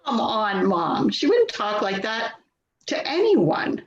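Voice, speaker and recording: A woman's voice: mid-range voice, wildly swooping pitch, slow, muffled recording. A woman in a play reading, playing an impatient, defensive grown daughter who sounds exasperated and dismissive. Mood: fed up. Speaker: actor in a readers theater play. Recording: roomy echo, slight background noise.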